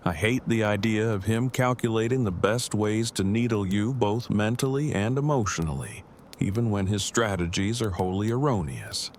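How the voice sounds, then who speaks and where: A man's voice: fairly low pitch, moderate pace, a little mellow, slight background noise, natural voice. A man playing an earnest, bookish husband in an audio drama, speaking in formal, wordy and precise phrases. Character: verbose, earnest, bookish husband on a road trip.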